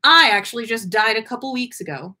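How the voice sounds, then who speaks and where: Young female ghost for a storybook, voiced by a woman: joyful, breezy, gushing, casual, very animated. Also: on the high side, a little fast, heavily acted. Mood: bubbly, excited.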